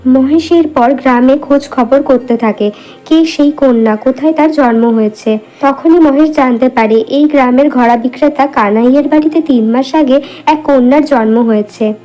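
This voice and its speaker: High-voiced woman narrating a folk tale. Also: normal speed, dark tone, faint music behind, low-quality recording. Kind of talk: scripted storybook narration.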